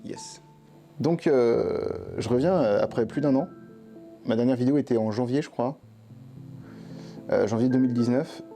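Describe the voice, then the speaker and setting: A man's voice: medium pitch, normal speed, pauses often, dark tone, faint music behind. A young adult man, telling a personal anecdote to camera in casual, slangy vlog talk with some swearing. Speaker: YouTuber. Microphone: face camera.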